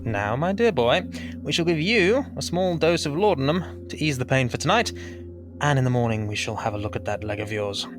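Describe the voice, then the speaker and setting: A man's voice: mid-range voice, lively intonation, fairly quick, lightly acted. An actor playing a fatherly, courteous Victorian doctor, speaking formally and reassuringly in a period audio drama. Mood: soothing.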